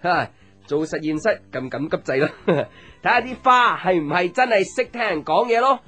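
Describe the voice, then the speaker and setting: A man's voice: high voice, normal speed, full character voice. An actor with a Hong Kong Cantonese accent playing a playful, teasing young man, a caring friend, in a light conversational radio drama. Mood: playful, mischievous. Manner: teasing, joking, animated with exclamations. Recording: music under the voice.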